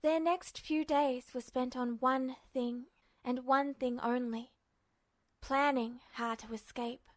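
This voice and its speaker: A woman's voice: high voice, steady tone, slow. A woman reading a story aloud as a scripted audiobook-style narration. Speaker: fan fiction podfic narrator.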